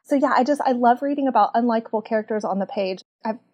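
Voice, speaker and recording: Southern US woman, podcast guest, chatty and laughing, slightly high voice. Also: fast, darkish tone, highs cut off. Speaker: podcast guest, book club community manager. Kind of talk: casual chat.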